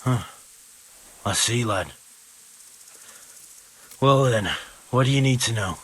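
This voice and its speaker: A man playing a bitter, gruff, foul-mouthed wounded stable hand in a video game cutscene, with a husky voice. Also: mid-range voice, takes it slowly, a bit bright. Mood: gruff, weary. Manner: gruff, blunt, swearing.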